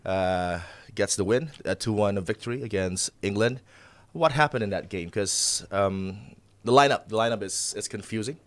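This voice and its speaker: A man's voice: on the low side, normal speed, few pauses, dry recording. A man hosting a casual football talk show, hesitant with many filler words.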